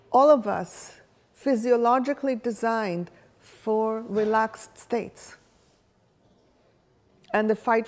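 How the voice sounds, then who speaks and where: A woman's voice: a little high, darkish tone. A woman whose first language is Hebrew, leading a workshop and thinking aloud while she asks the room questions. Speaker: workshop facilitator. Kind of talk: live workshop talk with audience questions. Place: workshop room with a live audience.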